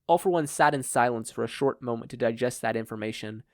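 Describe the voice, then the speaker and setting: A man's voice: mid-range voice, quick pace, no echo. A male audio fiction narrator with a middle-range voice. He reads scripted prose as a storyteller. The recording is dry, with almost no room sound. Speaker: podfic narrator.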